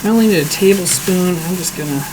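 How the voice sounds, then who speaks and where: A man with a hoarse voice talks casually through a home cooking livestream, joking at his own expense and answering viewers' questions as he goes. A steady air conditioner hum sits clearly under his voice in the kitchen. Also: high-pitched, fast. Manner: chatty, self-deprecating, answers chat aloud while cooking. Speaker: streaming cooking show host.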